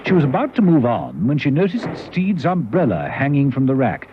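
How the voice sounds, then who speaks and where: A man narrates a radio thriller in a wry, dramatic storytelling style. His medium-pitched voice moves expressively, on a dull recording with clear background noise. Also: huge pitch swings, normal speed. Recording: noisy, muffled old radio drama recording.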